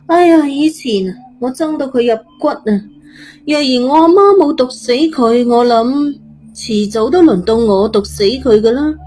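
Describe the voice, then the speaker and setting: A woman's voice: sits high, lively intonation, unhurried, warm tone, faint music behind, voice pushed up. A woman voicing a brash, chatty, blunt teenage girl with a morbid streak, speaking colloquial Cantonese in an audio story. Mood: blunt, dark. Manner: cheeky, blunt, colloquial, muttering to herself.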